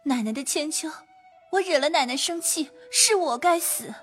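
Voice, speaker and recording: A man's voice: very high-pitched, expressive, normal speed, fairly bright tone, distant mic, faint music behind, voice pushed up. A man voicing a humble young maidservant pleading with her mistress, in a storytelling reading.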